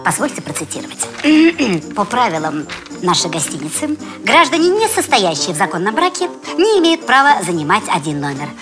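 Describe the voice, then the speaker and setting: An actress playing a prim, sarcastic Soviet-style hotel administrator in an animated comedy, pointed and bureaucratic in her delivery. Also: medium pitch, normal speed, over music, lightly acted. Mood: officious.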